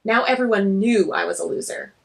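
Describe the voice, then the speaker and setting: A woman's voice: medium pitch, brisk, vocal fry, voice pushed down, lightly acted. A woman reading a graphic novel as a nervous, self-deprecating girl who narrates in a wry, glum way.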